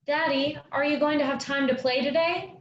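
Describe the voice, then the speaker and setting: A woman's voice: high voice, steady tone, normal speed, lightly acted. A woman playing an eager, innocent young son in a theatrical staged reading. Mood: hopeful. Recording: roomy, dull, faintly noisy sound.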